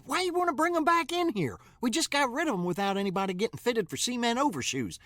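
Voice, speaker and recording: A man playing a dim, nosy, whiny sidekick with a husky voice in an audio comedy, childish and excitable. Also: very high voice, quick pace, no room sound, clean studio sound. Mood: complaining, worried. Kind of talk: audio comedy drama dialogue.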